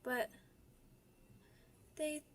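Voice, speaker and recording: A woman voicing an excitable, stubborn young werewolf pup in an ASMR roleplay, with childish, halting, repetitive speech. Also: a little hiss or hum. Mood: sad, homesick. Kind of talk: ASMR character roleplay audio drama.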